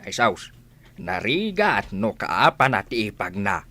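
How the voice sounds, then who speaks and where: A man's voice: on the low side, big pitch swings, a little slow, sharp tone, full character voice. A man with an Ilocano accent playing a gossipy, disapproving village uncle in a comedy radio drama. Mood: nosy, disapproving.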